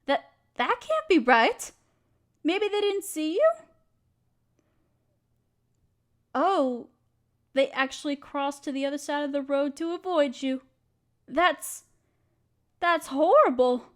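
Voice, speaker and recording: A woman voicing a kind, gentle female centaur with a soft Southern accent, tending a stranger's wounds and rambling apologetically. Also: sits high. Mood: dismayed. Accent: Southern US, put on. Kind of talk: fantasy audio roleplay.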